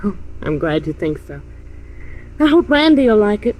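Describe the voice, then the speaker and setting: A woman's voice: medium pitch, very animated, normal speed, darkish tone, low-quality recording. An actress playing a wistful, affectionate young woman musician in earnest 1950s radio style. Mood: bright, hopeful.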